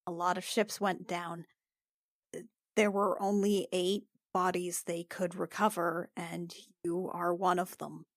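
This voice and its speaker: A woman's voice: on the low side, level tone, slow, no room sound, studio quality. A woman playing a tabletop game, speaking hesitantly and soothingly as her character. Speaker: player. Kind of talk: casual play in a tabletop game.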